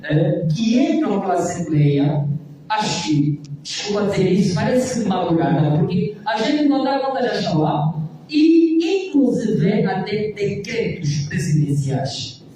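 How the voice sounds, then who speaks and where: A man's voice: high-pitched, big pitch swings, a little slow, dark tone, a little hiss or hum. A man, a civic activist arguing emphatically on a debate panel, often adding rhetorical tag questions. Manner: emphatic, rhetorical, frequent "não é?" tags. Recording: echoey room, distant mic.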